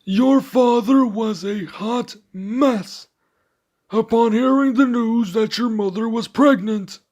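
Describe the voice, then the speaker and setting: A man playing a loyal royal servant in an audio roleplay, formal, composed and deferential, with gentle candour. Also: extremely high pitch, takes it slowly, exaggerated voice. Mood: wry, reassuring.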